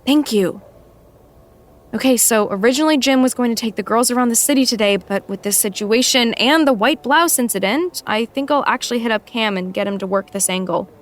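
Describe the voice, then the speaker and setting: An actress as a female assistant with a fairly high voice, blunt and exasperated with her boss. She speaks firmly and articulately, with dry sarcasm, in naturalistic audio drama style. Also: a little fast, close to an everyday voice. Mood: dry, brisk. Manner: firm, articulate, reproving, dryly sarcastic.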